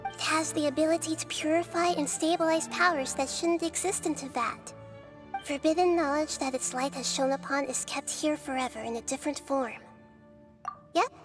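Game role, woman actor: wise child goddess, high voice, calm and thoughtful explainer, clear music behind. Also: normal speed, close to an everyday voice. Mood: calm, explanatory. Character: gentle, wise young girl deity, calm and thoughtful. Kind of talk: video game character acting.

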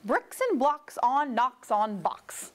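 A woman's voice: fairly high pitch, slow pace. A woman reading a children's picture book aloud in a read-aloud storytelling style. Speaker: story reader.

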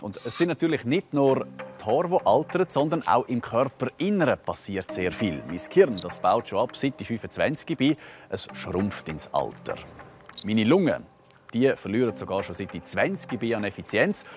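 A man's voice: mid-range voice, very animated, moderate pace, soft background music. A man in his thirties hosting a TV science report outdoors, playful and self-mocking. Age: 30s. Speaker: science show host. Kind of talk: presenting a TV science report to camera. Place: outdoors on a university campus.

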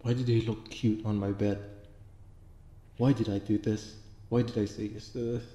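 A man's voice: on the low side, flat delivery, normal speed, warm tone, reverberant, long reverb tail, close to an everyday voice. A man voicing the frantic inner thoughts of a shy male student, exasperated and sarcastic, in an audio roleplay. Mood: self-scolding. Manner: exasperated, outburst-prone, sarcastic.

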